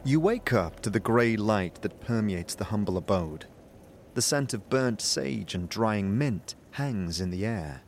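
A man narrating a fantasy audio drama in a measured, descriptive storytelling manner. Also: fairly low pitch, expressive, slight background noise. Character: male storyteller of a grim fantasy adventure. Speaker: narrator and protagonist. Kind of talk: scripted second-person fantasy narration.

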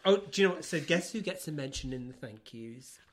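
A man's voice: fairly high pitch, animated, brisk. A man co-hosting a podcast in casual comic chat, giggly and teasing as he talks. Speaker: podcast co-host.

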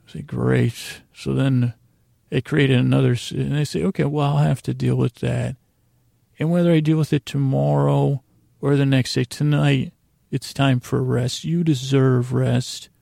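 Creaky, lulling man rambling at bedtime. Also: medium pitch, steady tone, mellow, dry recording, studio quality. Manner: meandering, halting with odd pauses and repeated words. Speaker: podcast host. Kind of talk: rambling, soothing bedtime monologue.

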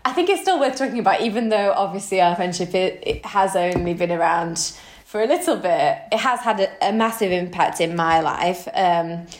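Female podcast co-host, 30s, slightly low voice, chatty and effusive, echoey room sound. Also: expressive, normal speed. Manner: effusive, affectionate. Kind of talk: casual podcast chat.

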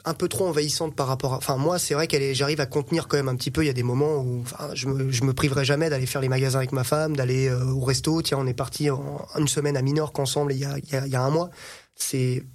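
A man in his thirties, a podcast guest telling animated anecdotes and laughing often. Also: mid-range voice, fast talker. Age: 30s. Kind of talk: casual chat, storytelling.

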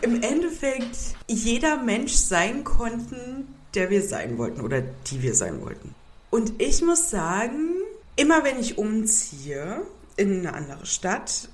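Woman with a cold, hosting. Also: mid-range voice. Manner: thoughtful, musing, slow stretches. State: has a cold. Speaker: podcast host. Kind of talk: casual podcast chat.

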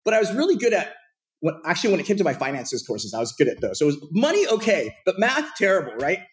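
A man in his thirties from Portland, Oregon, a podcast guest telling his career story in a casual, animated way with plenty of fillers. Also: high voice, quick pace, a little hiss or hum.

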